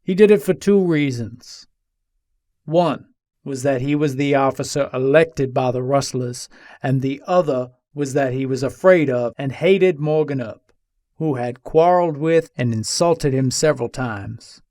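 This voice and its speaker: A man's voice: mid-range voice, a little slow, warm tone, no room sound, clean studio sound, natural voice. A man playing an embattled Old West gunslinger who pleads his case from jail in formal, old-fashioned storytelling, in a dramatic reading. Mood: accusing, resentful.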